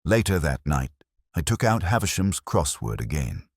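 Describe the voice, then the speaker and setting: Very deep-voiced man narrating a detective story. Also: sing-song, husky, no room sound, clean studio sound. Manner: measured, literary, reflective. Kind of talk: scripted first-person detective story narration.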